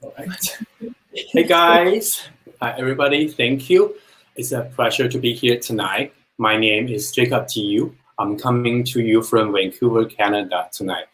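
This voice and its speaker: A man's voice: medium pitch, a little slow, a bit bright, poor audio. A man from China, speaking English as a second language, telling his personal business story on a live webinar in an earnest, plain-spoken way. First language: Chinese.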